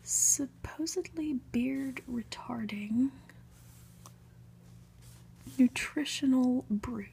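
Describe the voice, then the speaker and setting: A woman reading a storybook aloud in a deliberate ASMR whisper. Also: high-pitched, creaky, dark tone, slight background noise, low-quality recording. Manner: whispering, slow, deliberate delivery with occasional asides. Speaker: ASMR reader.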